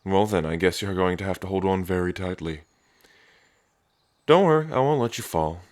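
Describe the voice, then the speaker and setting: A man's voice: moderate pace, no echo, clean studio sound, close to an everyday voice. A man playing a cocky, teasing dragon prince and protective big brother in an ASMR audio drama. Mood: teasing, smug. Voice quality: deep, low. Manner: smug, flirtatious, teasing, sweary.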